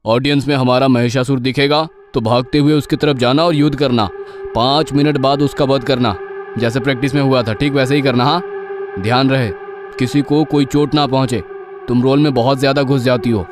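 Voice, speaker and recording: A man's voice: mid-range voice, fairly even intonation, fairly quick, over music, lightly acted. A man playing a bossy but cautious stage director in a story, giving instructions in an everyday voice. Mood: instructing.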